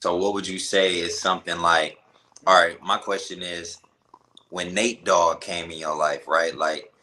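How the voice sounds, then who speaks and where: A man's voice: deep voice, pushed and bright. A man conducting a casual interview, informal and feeling his way through his questions.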